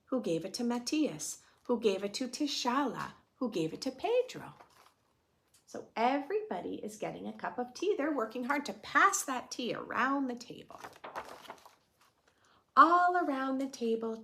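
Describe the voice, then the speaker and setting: A woman reads aloud for preschoolers in a gentle, expressive storytime style. Her pitch moves in lively swings while her pace stays slow and patient. A touch of creak runs through her voice as she speaks simply to young listeners. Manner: gentle, expressive, addressing young children with questions. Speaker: storytime host reading a picture book aloud to preschoolers. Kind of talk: children's read-aloud with simple explanations and questions.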